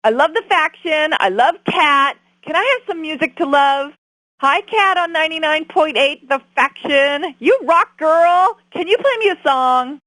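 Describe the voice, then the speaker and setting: A woman playing a bubbly young female radio caller with a squeaky delivery. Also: animated, a little slow, fairly bright tone, no echo, poor audio. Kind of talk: scripted game voice lines.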